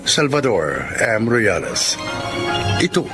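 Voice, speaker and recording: A man announces a radio drama in a formal, measured way. His middle-pitched voice has lively intonation and sits over clear music. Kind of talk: scripted dramatic narration. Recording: music behind the voice.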